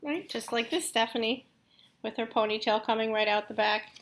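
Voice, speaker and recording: A woman's voice: fairly high pitch, moderate pace. A woman teacher reading a storybook aloud expressively, with casual asides spoken directly to young listeners.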